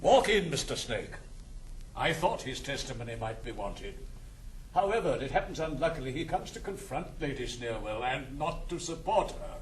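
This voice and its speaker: An actor playing a steady, mediating family steward with a British accent in a 1960s stage comedy recording. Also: male voice, mid-range voice, moderate pace, natural voice, poor audio. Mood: composed. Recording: 1960s studio record, faint hiss.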